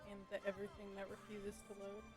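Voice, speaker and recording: A woman's voice: mid-range voice, completely flat delivery, quick pace. A woman commentating casually on her live gameplay, muttering and thinking aloud to herself. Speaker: game streamer. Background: video game music.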